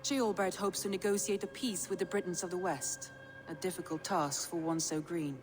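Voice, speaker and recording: An actress playing a shrewd, composed Viking clan advisor in a video game, measured and businesslike. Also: female voice, on the low side, steady tone, faint music behind, close to an everyday voice. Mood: composed, advising. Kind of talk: dramatic video game acting.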